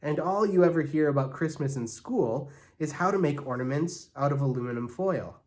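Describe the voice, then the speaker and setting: A man's voice: on the high side, animated, moderate pace, a little mellow, natural voice. A man voicing an indignant, know-it-all young girl in a storybook, exasperated and scornful.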